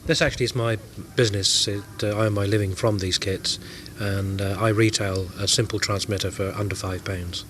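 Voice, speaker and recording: A man who sells electronics kits, answering interview questions haltingly with many fillers. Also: lowish voice, steady tone, a bit bright, slight background noise. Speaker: kit retailer.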